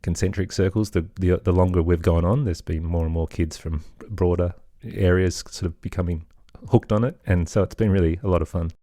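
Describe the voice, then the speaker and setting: A middle-aged man talking casually and reflectively as a podcast guest, thoughtful and tentative, often softening what he says. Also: sits low, fairly even intonation, a little fast, hardly any pauses, dry recording, clean studio sound. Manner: hesitant, thoughtful, hedging with softeners. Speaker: podcast guest, children's audio storyteller. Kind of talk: casual reflective interview talk.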